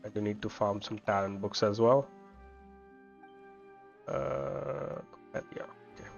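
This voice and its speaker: A man giving casual, low-key live gameplay commentary as a streamer, rambling along as he talks. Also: takes it slowly, creaky, muffled recording. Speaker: game streamer. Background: game music.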